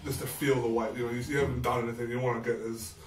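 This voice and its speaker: Guy in his 30s explaining stuff casually, normal pitch, roomy echo, bit far from mic. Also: level tone, very fast, slight background noise. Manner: conversational, explanatory. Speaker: co-host, powerlifting coach. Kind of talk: casual instructional chat. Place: small bare-walled room indoors. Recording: roomy echo, distant mic, faint music.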